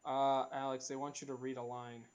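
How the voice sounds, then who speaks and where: A man's voice: mid-range voice, level tone, clear, resonant tone, dull audio. A man reading out script stage directions as narrator, casually and with some stumbles. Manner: halting, stumbles over the script. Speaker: narrator of a script table read.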